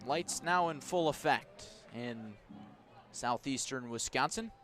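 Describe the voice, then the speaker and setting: A man doing live baseball radio play-by-play, with a measured delivery that lifts on action calls and descriptive asides between pitches. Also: fairly bright tone, faint music behind. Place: outdoor ballpark broadcast position above the stands.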